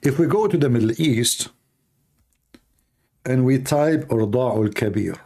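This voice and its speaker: A man's voice: medium pitch, unhurried, warm tone. A man hosting a live stream, giving scornful, mocking commentary with frequent laughter and non-native grammar. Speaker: host of a religious debate channel.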